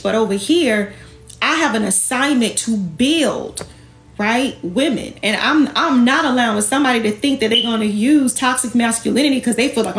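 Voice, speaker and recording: A woman's voice: a little high, echoey room. A woman speaking emphatically and colloquially to her online followers, sassy and confrontational, firing off rhetorical questions in an impassioned livestream talk. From Jamaican heritage. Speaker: online host and women's empowerment speaker.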